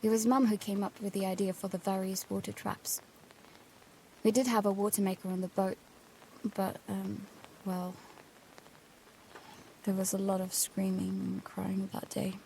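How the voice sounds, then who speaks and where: A woman's voice: medium pitch, level tone, normal speed, creaky, pauses often, dark tone, a little hiss or hum, lightly acted, rough recording. A young woman playing an earnest, restless daughter narrating life aboard a boat in an intimate, confiding audio drama style. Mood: reflective, confiding.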